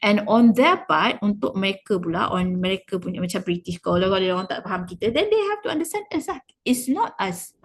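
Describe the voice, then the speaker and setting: A Malaysian-accented woman teaches an informal class in a slightly husky voice at a middling pitch. She mixes English and Malay freely, encourages her learners and drives points home by repeating key words. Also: lively intonation, quick pace, few pauses. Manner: encouraging, emphatic with repetition. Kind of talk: informal online class, explaining.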